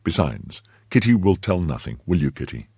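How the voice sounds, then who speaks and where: A man acts out a young French gallant in a storybook reading, in a low, husky voice. He is confident and affectionate, coaxing one moment and briskly commanding the next. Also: sing-song, warm tone, no echo, studio quality, heavily acted. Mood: tender, coaxing. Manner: confident, coaxing, quick to give orders.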